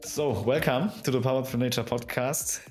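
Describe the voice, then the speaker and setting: A man's voice: medium pitch, normal speed, soft background music. A man whose first language is German hosting a podcast in English with simple, repetitive phrasing. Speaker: podcast host. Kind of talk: podcast intro and interview questions.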